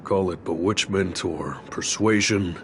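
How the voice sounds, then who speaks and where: A man playing a grave, weary, formal community leader in a video game, speaking in a measured, solemn way. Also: deep voice, slight background noise.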